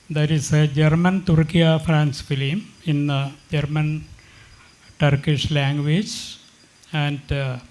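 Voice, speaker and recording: A man with an Indian accent formally introducing guests as an event moderator, halting and often repeating and rephrasing himself. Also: fairly high pitch, a little mellow.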